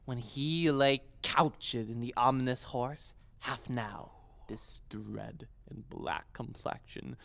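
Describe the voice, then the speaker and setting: A woman's voice: rumbling low, slow pace, vocal fry, heavily acted. A young woman playing a witty, mocking young prince feigning madness in a theatrical, sardonic Shakespearean audio drama style. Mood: dramatic recollection. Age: young adult.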